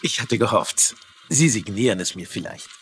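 A man's voice: fairly low pitch, animated, moderate pace, fairly bright tone. An actor playing an awkward, official male police investigator in a dubbed TV crime drama, somewhat hesitant in speech. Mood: awkward, flattered. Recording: faint background noise and ambience.

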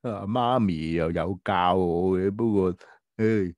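A man acts out a careless, apologetic little boy in a children's radio drama. He drags out his hesitant excuses at a slow pace. The voice is bright and recorded dry. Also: deep voice, no echo, muffled recording, voice pushed down. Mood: apologetic, excusing. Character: apologetic, careless young boy, a cartoonish letter character.

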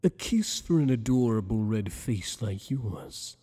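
A man's voice: on the low side, lively intonation, warm tone, husky, big-room sound. A man voicing a teasing, possessive monster boss. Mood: flirtatious. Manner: teasing, flirtatious, slow and intimate. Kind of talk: ASMR roleplay.

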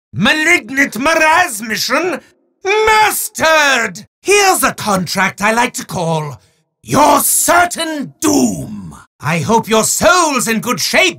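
Evil wizard for a game: high, piercing, forceful delivery, hammy and grandiose, maniacal villain with chatty asides. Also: male voice, gravelly, heavily acted. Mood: triumphant, grandiose, then menacing, gloating. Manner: grandiose, theatrical, booming declarations dropping into fast asides. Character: bombastic, maniacal, hard-up male evil wizard. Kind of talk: theatrical video game villain voice lines.

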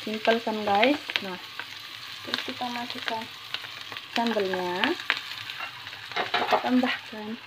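A woman's voice: fairly high pitch, slow pace, long pauses. A woman from Indonesia gives a casual, unscripted cooking tutorial in a chatty, repetitive way, dropping in English words. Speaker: home cooking vlogger. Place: home kitchen, indoors. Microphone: handheld phone behind the camera. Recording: noisy kitchen with frying sizzle. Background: frying sizzle, stir noises.

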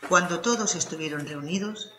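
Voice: medium pitch, normal speed, roomy sound, background noise. A narrator reading a scripted crime story for an audiobook.

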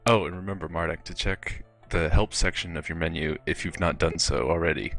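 A man voicing a dutiful game knight who gives practical guidance in a measured, dry manner, game music behind him. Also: deep voice, fairly even intonation, vocal fry, a bit bright. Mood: dry, instructive. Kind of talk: fan dub of role-playing game dialogue.

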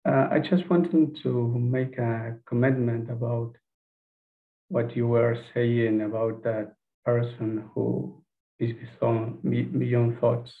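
Narrow, phone-like headset audio carries a man in his fifties with an Argentine accent. A native Spanish speaker, he delivers careful, halting remarks slowly and in a monotone. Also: medium pitch. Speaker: audience member making a comment. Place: indoor room on a video call. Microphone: headset boom microphone.

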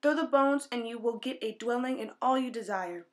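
Storybook old man, solemn and slow, fairly high-pitched, read by a woman. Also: lightly acted. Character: dying old man, kindly magic giver.

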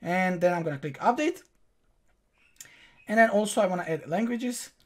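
A man's voice: high voice, animated, normal speed. Young Croatian man teaching step by step. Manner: talks viewers through each click, direct and instructive. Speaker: online tutorial host. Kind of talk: screen-recorded step-by-step tutorial. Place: small room indoors.

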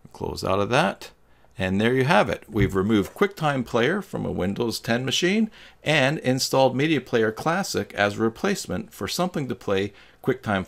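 A middle-aged man giving a step-by-step software tutorial in a plain, instructional manner. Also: mid-range voice, gravelly. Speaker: tutorial host. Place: small indoor room with green screen.

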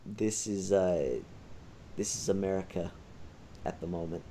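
A man's voice: low-pitched, a little slow, dark tone, a little hiss or hum. A man talking casually as a podcast host, in a rambling way.

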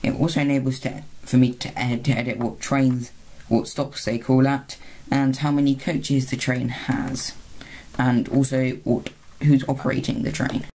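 A video host narrating an informal screen-recorded tutorial in a halting way, often rephrasing mid-word. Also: medium pitch, level tone, warm tone, slight background noise, poor audio. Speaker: video host, hobbyist presenter.

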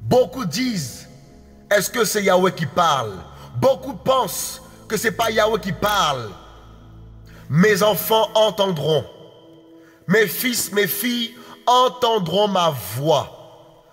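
A man's voice: sits high, expressive, takes it slowly, a bit bright, breathy edge. A man delivering a prophetic utterance, with intense, repetitive declaiming and speaking in tongues. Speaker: prophetic preacher. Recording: long hall reverb, faint music behind.

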